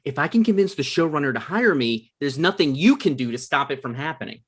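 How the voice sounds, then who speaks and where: A man's voice: on the high side, lively intonation, a little fast, a bit bright, breathy edge. A man reading the part of an earnest, pushy young hopeful TV writer in a comedy table read. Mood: pleading. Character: eager, pushy male aspiring TV writer.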